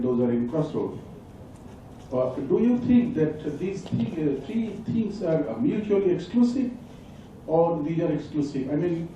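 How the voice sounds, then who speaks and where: A man's voice: fairly high pitch, dark tone, slight background noise. A man in the audience politely asking a presenter a question, hesitating and restating his ideas. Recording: echoey hall, distant dull mic.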